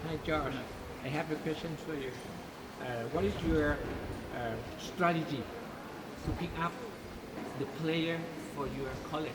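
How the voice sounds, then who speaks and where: Brief: man asking halting questions slowly, picked up from afar over heavy noise. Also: fairly high pitch, steady tone. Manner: slow, halting questions with many fillers. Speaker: interviewer. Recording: distant mic with loud noise.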